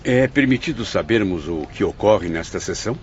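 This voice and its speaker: A man's voice: lowish voice, lively intonation, normal speed, close to an everyday voice. A man playing a polite, inquisitive male apprentice spirit in an audio drama. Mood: curious. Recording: slightly dull audio, faint hiss.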